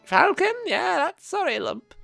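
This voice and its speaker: A game player voicing a brassy, motherly female bird who keeps a tavern, loud and familiar, with faint music behind. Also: high-pitched, big pitch swings, unhurried, gravelly, pitched above the performer's own voice, full character voice. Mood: exasperated. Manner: familiar, loud, drawling endearments.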